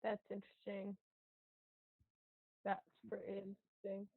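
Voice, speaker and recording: A woman's voice: medium pitch, completely flat delivery, a little slow, slightly rough, phone-quality sound. A woman chatting casually as a participant in a group stream. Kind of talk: casual chat.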